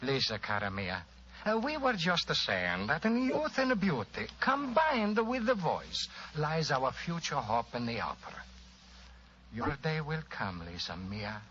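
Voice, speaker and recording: A courtly police inspector with an Italian accent, florid and effusive. His bright, highish voice swings widely in old radio drama fashion, on a dull recording with faint noise. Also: wildly swooping pitch, measured pace, full character voice. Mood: fond, excited. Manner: formal, florid, hesitant politeness.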